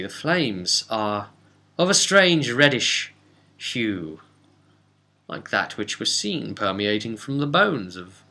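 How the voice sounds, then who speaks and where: A man casually recapping a tabletop role-playing session as game master, telling it like a story with halting asides. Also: fairly low pitch, sharp tone.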